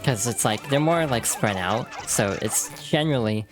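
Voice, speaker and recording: A VTuber streamer with a hoarse, froggy voice from allergies, chatting casually while playing a game and laughing at their own slips. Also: medium pitch, lively intonation, moderate pace. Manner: chatty and self-deprecating, many fillers, laughs through words.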